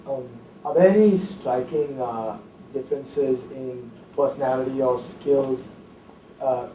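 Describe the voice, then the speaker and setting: A man in a lecture audience asking a halting question. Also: medium pitch, dark tone. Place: lecture room. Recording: distant voice in an echoey room, faint noise.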